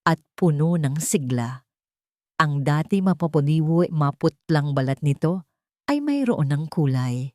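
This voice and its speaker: Female storyteller for romantic audio drama: slightly low, highly expressive pitch, measured emotive phrasing. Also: no echo, clean studio sound. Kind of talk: dramatic scripted storytelling narration of a love story.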